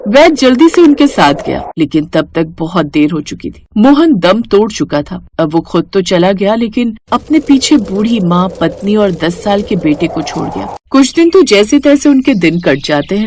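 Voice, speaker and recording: A woman's voice: medium pitch, darkish tone. A woman reading scripted narration for a moral cartoon story.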